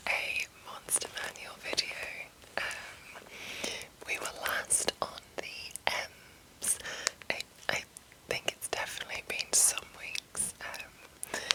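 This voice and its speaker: A woman hosting whispered ASMR, speaking in a soothing, breathy whisper, with a sore, breaking throat from being under the weather. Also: poor audio. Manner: slow, soothing, deliberate delivery. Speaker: ASMR channel host. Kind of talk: whispered ASMR, reading and commenting.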